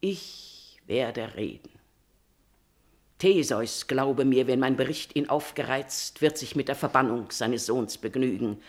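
A woman's voice: a bass voice, slow pace, darkish tone. An actress playing a queen's devoted and scheming confidante in a classical tragedy, declaiming verse in an emphatic, persuasive manner. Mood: urgent persuasion. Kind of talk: declamatory classical German stage verse acting.

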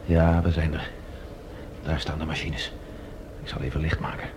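An actor playing a tense, defiant professor in a radio drama, speaking in a guarded, terse way. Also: male voice, extremely low pitch, flat delivery, moderate pace, background noise. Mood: hushed, tense. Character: tense but defiant male professor leading infiltrators.

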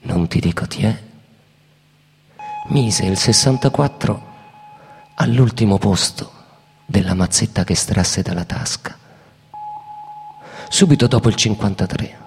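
A man's voice: fairly low pitch, measured pace, vocal fry, long pauses, slight background noise. A man delivering theatrical scripted narration as a storyteller. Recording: reverberant hall. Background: music.

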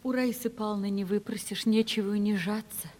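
An actress playing a melancholy, lonely young woman in love, simple and hushed, in a Russian radio play. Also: mid-range voice, steady tone, fairly quick, natural voice. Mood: anxious. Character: sorrowful, lonely young poor relation in love.

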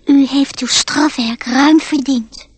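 An actress voicing a small talking mouse in a radio play, formal and frank, with a husky, breathy voice. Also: female voice, high-pitched, fairly even intonation, slow pace. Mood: prim, admonishing. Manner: formal, matter-of-fact. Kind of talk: radio drama acting.

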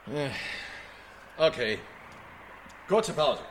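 Bossy director amid clear noise. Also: male voice, a little high, big pitch swings, drawn-out words, exaggerated voice. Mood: impatient. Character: bossy male director coaching interviewees, curt and impatient. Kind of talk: curt off-air instructions in radio drama.

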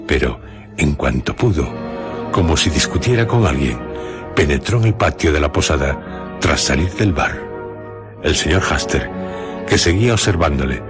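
Un hombre narra un radioteatro con un texto literario leído. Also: male voice, extremely low pitch. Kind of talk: scripted dramatic narration. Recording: clear music behind voice.

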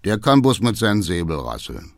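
A man's voice: lowish voice, sing-song, moderate pace, vocal fry, no echo, clean studio sound. A man voicing a sullen schoolboy son in an audiobook story, defiant and accusing in manner. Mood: bitter defiance. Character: sullen, defiant teenage schoolboy son, bitter toward his father.

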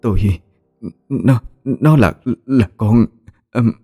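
A desperate old wife begs and stammers in a high, strained voice, as a man narrating a horror story puts her on. The tone stays dark and mellow, and a little music sits faintly behind. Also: expressive, fairly quick, no room sound, clean studio sound, natural voice. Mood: frightened. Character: old wife, frightened, pleading and tearful.

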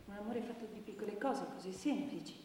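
A woman's voice: mid-range voice, fast, close to an everyday voice. An actress playing a wistful young woman making a complaint, earnest, plaintive and hesitant in a theatrical style. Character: wistful young woman reporting her stolen dreams. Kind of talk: theatrical stage acting. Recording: very echoey hall from far off, noisy.

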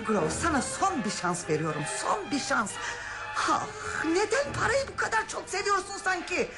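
An overwrought, high-voiced woman over music. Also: sing-song, moderate pace, heavily acted. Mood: desperate, pleading. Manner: emphatic, dramatic. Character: overwrought, nervy woman of a wealthy family. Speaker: radio drama actress. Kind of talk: melodramatic radio theater acting. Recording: prominent music behind the voice.

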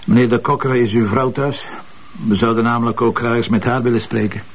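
An actor playing a gruff, impatient police commissioner in a TV crime drama, blunt and sarcastic, with a Flemish accent. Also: male voice, medium pitch, steady tone, brisk, recorded from a distance, close to an everyday voice. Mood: cool, probing. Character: gruff, irritable male police commissioner with a sharp tongue. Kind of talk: naturalistic TV crime drama acting.

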